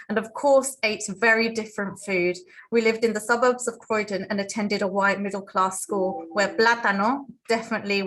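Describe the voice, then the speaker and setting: Woman, from Colombia, native Spanish speaker, teacher on a panel, earnest, measured, mid-pitched voice. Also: a bit bright, low-quality recording. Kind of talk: prepared personal talk on a panel.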